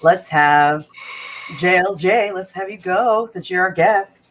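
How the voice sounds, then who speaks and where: Chill woman co-host, kinda low voice, bit halting. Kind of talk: casual debate chat.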